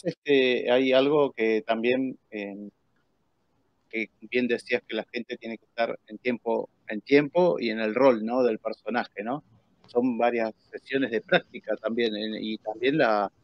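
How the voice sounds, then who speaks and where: A middle-aged man with an Argentine accent, hosting a casual interview in a halting, checking way. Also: mid-range voice, fairly even intonation, no echo. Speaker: host. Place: indoor room, on a video call. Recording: dry, slightly dull streamed audio.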